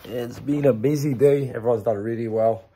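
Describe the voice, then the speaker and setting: A man in his thirties, hoarse from a sore throat, casually walking viewers through his building site and explaining the work step by step. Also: mid-range voice, normal speed, a little hiss or hum. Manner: relaxed, explains step by step with fillers. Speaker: builder vlogger. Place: unfinished building extension under a new roof, indoors. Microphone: phone held at arm's length.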